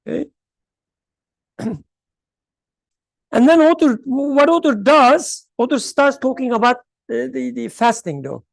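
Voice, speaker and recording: Class leader explaining a text, slow and searching, dry room sound, often checks understanding. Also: mid-range voice, a little mellow, dry recording. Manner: unhurried, thinks aloud with restarts, checks in with "right?" and "okay?"; clears throat often. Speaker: teacher leading a study class.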